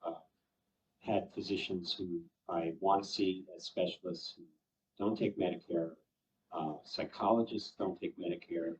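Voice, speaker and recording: An older man, past sixty-five, with a very low voice puts a question from the audience. He speaks in halting, deliberate phrases. He sounds away from the microphone, with dulled audio. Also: steady tone. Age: over 65. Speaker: audience questioner, former physician. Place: conference summit event. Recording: muffled, distant event microphone.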